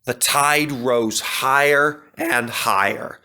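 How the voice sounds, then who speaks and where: A man's voice: medium pitch, takes it slowly, pushed and bright, clear, resonant tone. A man narrating a children's storybook as a scripted read-aloud.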